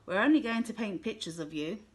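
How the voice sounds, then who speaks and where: A woman's voice: medium pitch, expressive, fairly quick, lightly acted. A woman voicing an eager small boy who is new at school and speaks in an earnest, slightly proud way.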